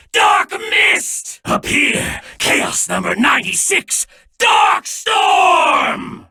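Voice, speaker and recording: A man voicing a gleefully evil, theatrical alien villain in an anime card game, shouting his moves with mocking relish. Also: extremely high pitch, recorded from a distance, full character voice. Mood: grandiose, summoning.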